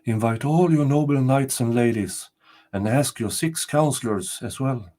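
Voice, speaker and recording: A man's voice: medium pitch, a little slow, mellow, pitched above the performer's own voice, lightly acted. A man voicing a grey-robed female spirit from a folktale, giving solemn, commanding pronouncements. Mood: solemn, instructing.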